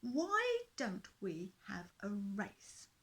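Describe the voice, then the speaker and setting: A woman voicing the small male king of the fishes in a storybook reading, speaking high and earnestly. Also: huge pitch swings, extremely slow, fairly bright tone, full character voice. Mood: earnest, pleading. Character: small worried but brave male fish king.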